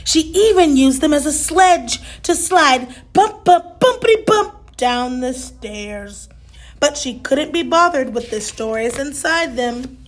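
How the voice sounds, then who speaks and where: A woman reading a children's story aloud with dramatic, drawn-out emphasis. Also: sits high, expressive. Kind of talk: animated storybook read-aloud.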